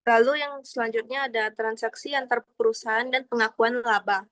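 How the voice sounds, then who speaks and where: Young woman presenting, higher-pitched voice. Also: steady tone, moderate pace, no room sound, studio quality. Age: young adult. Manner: formal, explanatory. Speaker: student presenter. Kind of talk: slide presentation of accounting material, partly read out.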